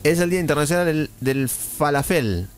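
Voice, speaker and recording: A man's voice: on the high side, lively intonation, moderate pace, clear, resonant tone. A man with a Rioplatense Argentine accent hosting a radio show, teasing his co-hosts as he reads out the day's observances. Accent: Rioplatense Argentine Spanish. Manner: leads the segment, teasing and wry, reading out the day's observances. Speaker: radio host.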